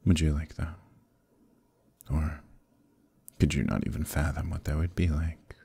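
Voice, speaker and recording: A man playing an evil conquering knight in an ASMR roleplay, speaking in a gravelly, husky, measured way, calm and menacing yet quietly coaxing. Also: a bass voice, no room sound, full character voice. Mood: soft coaxing. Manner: measured, deliberate, quietly persuasive, pausing often. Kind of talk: ASMR roleplay, slow intimate dramatic monologue.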